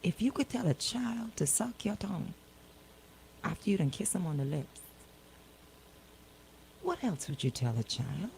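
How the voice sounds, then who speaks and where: A woman's voice: on the low side, big pitch swings, unhurried, gravelly, long pauses, darkish tone, a little hiss or hum, rough recording. A woman hosting a casual live talk show, questioning people incredulously and repeating her words for emphasis. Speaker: radio show host.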